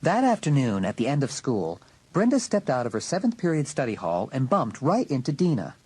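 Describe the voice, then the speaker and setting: A man's voice: medium pitch, huge pitch swings, fairly quick, a little mellow, husky. A man reading scripted narration for a dramatised audiobook.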